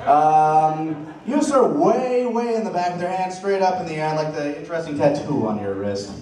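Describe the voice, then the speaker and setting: A man with a somewhat high voice talks casually and jokes as a panelist. He is heard from far off, with heavy echo and loud noise over the recording. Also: lively intonation, normal speed. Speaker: convention panelist. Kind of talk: casual chat, joking with audience. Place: large hotel convention panel room. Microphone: distant handheld audience camera. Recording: very echoey, noisy room from far off.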